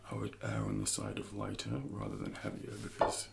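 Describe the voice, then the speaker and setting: A man in his thirties speaking softly and breathily in an ASMR roleplay as a personal trainer, often slipping into whispers while instructing. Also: a bass voice, very animated, a little fast, creaky, heavy background noise. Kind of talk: soft-spoken ASMR roleplay.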